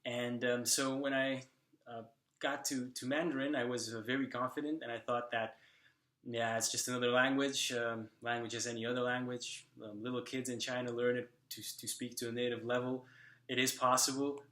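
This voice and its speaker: A young man from Slovakia, talking casually to the camera in a vlog and often pausing on fillers and restarts. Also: mid-range voice, level tone, sharp tone, reverberant. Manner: hesitant, many fillers and restarts. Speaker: language vlogger.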